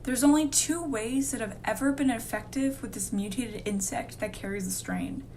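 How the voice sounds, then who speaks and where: A woman's voice: on the high side, normal speed, a little mellow, a little hiss or hum, lightly acted. A woman playing a determined, serious infectious-disease doctor in a radio drama. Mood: grave, explaining. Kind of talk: amateur radio drama dialogue.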